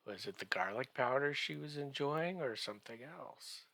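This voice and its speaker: A man giving a comedic podcast recap in a halting, drawn-out way, full of wry joking asides. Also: medium pitch, animated, creaky, bright, forceful tone, no echo.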